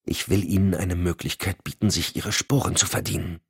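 A man voicing a stern, irritated female FBI agent in a low hissing murmur. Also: steady tone, fairly quick, creaky, husky, dry recording, studio quality, full character voice. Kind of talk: audiobook acting.

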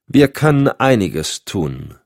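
A man's voice: on the low side, lively intonation, a little fast, dry recording, studio quality, pitched above the performer's own voice. A man voicing a curt, sardonic, commanding agency chief with clipped formal speech in an audiobook. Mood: cool, controlled. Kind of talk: audiobook dialogue.

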